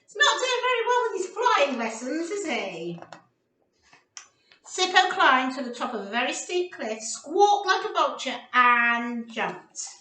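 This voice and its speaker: A woman presenting storytime for young children, reading interactively and posing animated questions to her little listeners. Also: high-pitched, a little slow. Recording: roomy echo, distant microphone.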